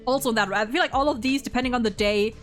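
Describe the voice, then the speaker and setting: A woman's voice: a little high, quick pace, a bit bright, soft background music. A woman chatting casually as host about a video game, emphatic and opinionated, swearing freely. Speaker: host of a game story ranking show.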